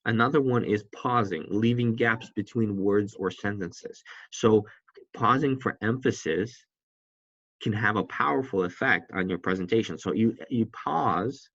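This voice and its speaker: Low-voiced man giving a casual seated lecture. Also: unhurried, fairly bright tone, husky, no room sound, highs cut off. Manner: conversational, restarts often, sitting hunched. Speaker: public speaking instructor. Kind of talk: recorded lecture. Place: seated indoors, not in a classroom.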